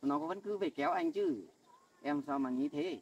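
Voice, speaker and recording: A man's voice: fairly high pitch, moderate pace, distant mic, muffled recording, slight background noise, close to an everyday voice. A man playing a defensive husband who tries to placate his jealous wife in an amateur family skit.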